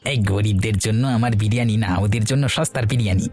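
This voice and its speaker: A man's voice: fairly low pitch, fast, darkish tone, soft background music, lightly acted. A man playing an arrogant, mocking shop owner in a moral-tale cartoon, with a scoffing, boastful manner. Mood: scornful.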